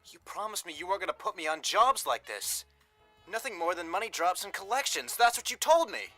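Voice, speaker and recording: Man playing an earnest, sharp-voiced young tough. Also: high voice, sing-song, fairly quick, no room sound, faint music behind, heavily acted. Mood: protesting, defiant. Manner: plain-spoken, earnest, pleading. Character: tough, earnest young male hired thug, devoted expectant father. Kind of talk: 1930s gangster radio drama acting.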